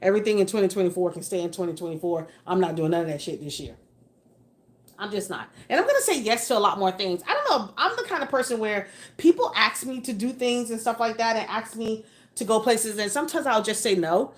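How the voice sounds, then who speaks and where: A woman's voice: medium pitch, sing-song, a little fast. A woman in her late forties chats live to her viewers, frank and emphatic, now and then swearing for effect. She keeps it casual and conversational, picking up comments as they come in. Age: 40s. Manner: chatty, reads out and answers viewer comments; frank, mildly profane, emphatic. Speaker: livestream host. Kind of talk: casual livestream chat.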